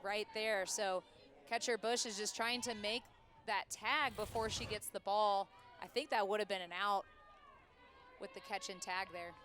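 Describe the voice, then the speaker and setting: A woman giving color commentary and analysis on a softball broadcast. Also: mid-range voice, fairly even intonation, normal speed.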